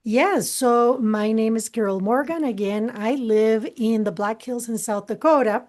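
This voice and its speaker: A woman from Costa Rica, a guest on a talk show, speaking in a calm, measured way with some fillers. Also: a little high. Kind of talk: interview conversation.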